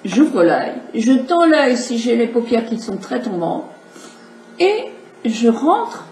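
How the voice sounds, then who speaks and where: A woman's voice: fairly high pitch, a little mellow. A middle-aged woman with a slight cold, chatting to viewers as she demonstrates her makeup step by step. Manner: chatty, explanatory, addresses viewers directly. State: has a cold. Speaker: makeup tutorial host. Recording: echoey room with faint background hiss.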